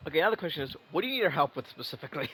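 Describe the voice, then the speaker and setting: A man's voice: a little high, big pitch swings, rapid-fire, bright, forceful tone, rough recording. A man giving rambling, wry, casual reaction commentary on a video game story. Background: game music.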